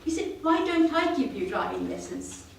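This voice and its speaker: A woman's voice: very high-pitched, very animated, moderate pace, a little hiss or hum. A woman from Sri Lanka giving a prepared club speech, telling her story in an earnest, self-deprecating way. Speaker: club speaker. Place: meeting room. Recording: roomy hall, mic at a distance.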